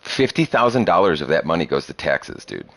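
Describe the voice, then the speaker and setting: Podcast host, man, 30s, low voice, blunt and profane, close dry mic. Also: very animated, a little fast, a bit bright, dry recording. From Chicago area. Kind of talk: casual chat.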